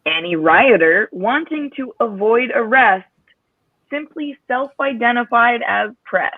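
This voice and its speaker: A woman's voice: fairly high pitch, expressive, measured pace, no room sound. A woman reading a passage aloud and commenting casually on an online livestream. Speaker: reader on a livestream. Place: video call. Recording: thin, phone-like, radio-like line.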